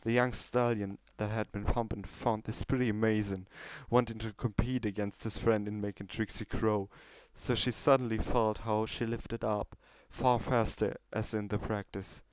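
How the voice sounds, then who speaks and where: A man's voice: fairly low pitch, flat delivery, normal speed, hardly any pauses, smooth, no room sound, poor audio. A man reading a scripted story aloud as its narrator. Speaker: story reader.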